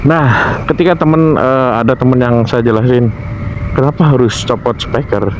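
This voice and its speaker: An Indonesian man with a mid-pitched voice talks through gear tips in an informal vlog style. He is instructive and repetitive, pausing to find words. The audio is dull and lo-fi, with faint vehicle noise behind him. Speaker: motovlogger giving gear care tips. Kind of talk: informal explanatory vlog talk.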